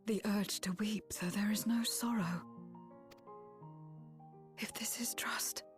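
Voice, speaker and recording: A woman voicing a guarded, lonely young woman warrior in a game cutscene, speaking in a subdued, breathy half-whisper. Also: medium pitch, fairly even intonation, faint music behind, lightly acted. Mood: moved. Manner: subdued, reflective, measured. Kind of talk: video game cutscene dialogue.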